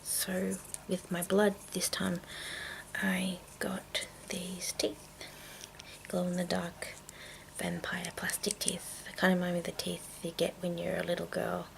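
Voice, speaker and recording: A woman whispering in a breathy, hushed, unhurried way with halting pauses, presenting an ASMR show-and-tell. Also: on the low side, monotone, slight background noise, poor audio. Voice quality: breathy whisper with creaky edges. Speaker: ASMR video host.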